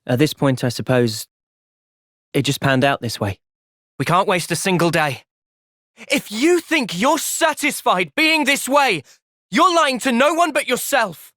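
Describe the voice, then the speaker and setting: A man with an English accent voicing an earnest, thoughtful young soldier hero in a fantasy video game, speaking in a measured, reflective way. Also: high voice, sing-song, sharp tone, no echo, clean studio sound, full character voice. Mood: firm, resolute. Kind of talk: video game cutscene acting.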